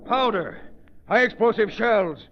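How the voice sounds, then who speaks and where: An actor playing a blunt, authoritative steamship captain in brisk 1930s radio style. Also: male voice, high voice, animated. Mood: urgent commanding. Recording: muffled, faintly noisy 1930s radio recording.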